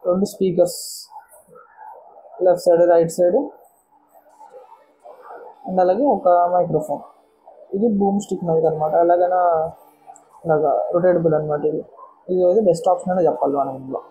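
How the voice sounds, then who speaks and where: Very mellow, dark-voiced woman reviewing gear. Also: fairly low pitch, steady tone, moderate pace, long pauses, breathy edge, poor audio. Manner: explanatory, step-by-step, repeats words. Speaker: motorcycle vlogger and product reviewer. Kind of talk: casual unboxing and product explanation.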